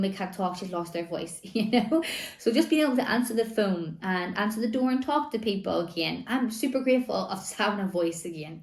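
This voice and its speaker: A woman in her thirties talks to camera in a loose, rambling way, full of "like" and "you know". Her voice is still slightly worn after laryngitis, and it sits at a middle pitch. The room around her is echoey. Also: a little mellow. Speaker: vlogger. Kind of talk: casual chat to camera. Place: home room.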